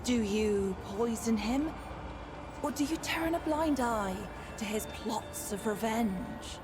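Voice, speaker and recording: A mysterious, otherworldly female seer for a fantasy game. The voice sits a little high and moves slowly, riddling and playfully probing. Music is clearly audible behind it. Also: lively intonation. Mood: measured, probing. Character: ethereal wandering female seer spirit, playful, cryptic, probing. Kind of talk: theatrical fantasy game dialogue.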